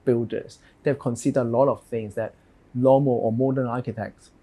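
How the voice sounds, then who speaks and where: A man in his thirties from Hong Kong with a Hong Kong English accent, an architect answering interview questions in a halting, thinking-aloud way. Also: mid-range voice, fairly even intonation, dark tone.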